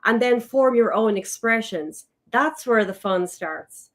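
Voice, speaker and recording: A woman's voice: fairly high pitch, expressive, unhurried. Enthusiastic woman in chat. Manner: enthusiastic, rephrasing as she goes. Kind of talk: casual chat.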